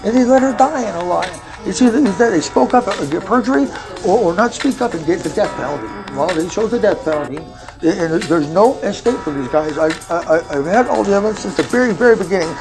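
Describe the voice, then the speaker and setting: A man's voice: high-pitched, lively intonation, quick pace, poor audio. A man giving a rambling, impassioned personal account, stammering and repeating words in an agitated, insistent way. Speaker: amateur filmmaker telling his own case. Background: music.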